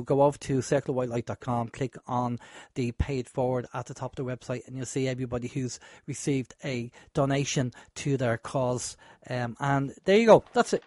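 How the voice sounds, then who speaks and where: A man hosting a radio show, chatty and rambling, a bit hoarse from a sore throat. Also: mid-range voice, no room sound, studio quality. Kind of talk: casual radio talk.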